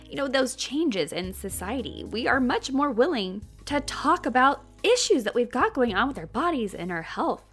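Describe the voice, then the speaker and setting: A woman hosting a podcast, speaking frankly and casually while sounding congested from a cold. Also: on the high side. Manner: frank and candid, swears casually. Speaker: podcast host. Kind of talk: casual podcast intro monologue.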